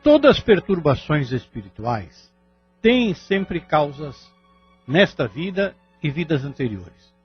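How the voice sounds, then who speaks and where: Animated man giving scripted commentary. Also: a little high, slow pace, lo-fi sound. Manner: formal, explanatory. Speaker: narrator-commentator. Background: music.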